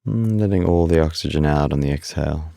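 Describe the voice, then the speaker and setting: A man leading a guided body scan meditation in a calm, unhurried, soothing way, leaving long pauses between instructions. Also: a bass voice, gravelly, no room sound, clean studio sound.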